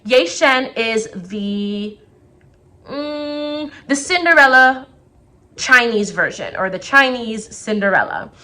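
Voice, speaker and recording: A young woman teacher talking casually to the camera from her classroom, her voice a little raspy as it recovers, chatty and full of quick asides. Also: a little high, lively intonation, bright, forceful tone. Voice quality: slightly raspy. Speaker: second grade teacher.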